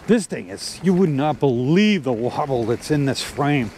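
A man's voice: medium pitch, animated, normal speed, darkish tone. A man in his fifties talking casually while riding an e-bike on an outdoor trail, rambling with lots of fillers and restarts into a clip-on mic. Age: 50s. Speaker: e-bike vlogger. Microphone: corded clip-on mic. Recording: faint outdoor noise from riding.

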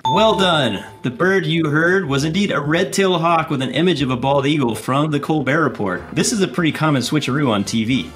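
A man's voice: on the high side, fairly quick, hardly any pauses, fairly bright tone. A man in his thirties hosting a scripted game show in a playful, punning manner.

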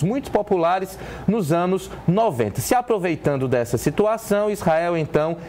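A Brazilian man anchors a live newscast in a fairly high male voice. He is in his thirties and moves between reporting and explanation. He speaks into a lapel mic, with clear noise behind him. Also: a little mellow. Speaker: news anchor. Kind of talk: live news presenting with explanatory commentary. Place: indoor news studio.

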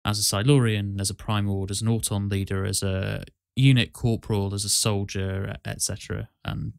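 Low-voiced man chatting in an even tone. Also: normal speed, gravelly, clean tone, dry recording, clean studio sound. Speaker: podcast host and amateur voice actor. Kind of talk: casual podcast chat.

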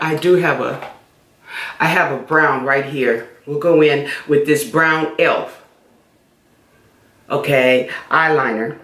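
A woman's voice: low-pitched, fairly bright tone, echoey room, slight background noise. A middle-aged woman chatting casually while doing her makeup for a beauty vlog, repeating herself as she goes. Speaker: beauty vlogger. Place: small room indoors.

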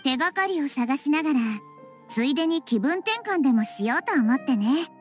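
A woman playing a gentle, wise little girl deity in an anime game, speaking in a composed, polite, reflective way. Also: high-pitched, animated, moderate pace. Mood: pensive. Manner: composed, polite, reflective, feminine mature phrasing. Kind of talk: anime video game acting. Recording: music behind the voice.

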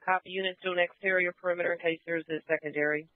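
A man's voice: high voice, level tone, very fast, no room sound, narrow, tinny audio. A man working as a police radio dispatcher, speaking in terse, clipped procedural phrases over a radio. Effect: police radio transmission. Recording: band-limited police radio audio.

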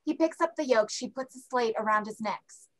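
A woman's voice: fairly high pitch, normal speed, a bit bright. A woman reading stage directions as scripted narration for a play reading over a video call.

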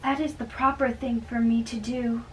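A woman's voice: a little high, level tone, moderate pace, a little hiss or hum, close to an everyday voice. A woman acting an anxious, bashful, girlish young noblewoman in love, in an amateur dramatic reading. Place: small bedroom, indoors. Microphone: far camera. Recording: distant room microphone.

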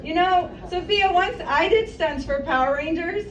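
A woman's voice: extremely high pitch, normal speed, muffled recording. A woman in her thirties doing a stand-up comedy set, chatty and self-deprecating with rambling asides, on a small café stage. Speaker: stand-up comic. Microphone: mic on stand, filmed from across the room. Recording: roomy, distant, noisy café recording.

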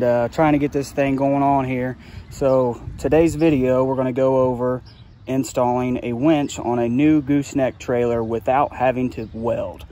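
A man's voice: medium pitch, dark tone, a little hiss or hum. A middle-aged man giving a casual, plain-spoken how-to walkthrough outdoors on a handheld phone. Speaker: YouTube channel host, trucking business owner. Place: outdoors beside a trailer. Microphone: handheld phone at arm's length.

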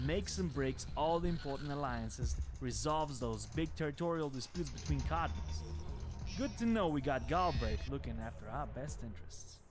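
A man's voice: medium pitch, lightly acted. An actor playing a cocky, streetwise male vampire gangster in a video game, blunt, slangy and sardonic. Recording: music behind the voice.

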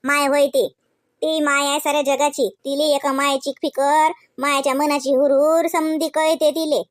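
Woman as motherly cartoon village aunt, high voice, Varhadi Marathi accent, devout, homely, instructing, dry close sound. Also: level tone, normal speed, dry recording, natural voice. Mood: reassuring. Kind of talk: comedy cartoon dialogue.

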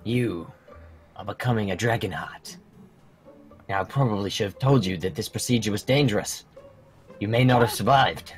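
A man playing a solemn dragon mentor in human form with a measured, portentous manner, in a gaming roleplay. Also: lowish voice, faint music behind, natural voice. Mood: solemn, instructive. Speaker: mentor training young dragon riders. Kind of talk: fan roleplay acting in a gaming video.

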